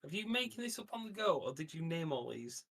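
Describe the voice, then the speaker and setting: A man with a somewhat high voice chats as a player in a tabletop game. His talk is casual and blunt. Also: sing-song, quick pace, a bit bright.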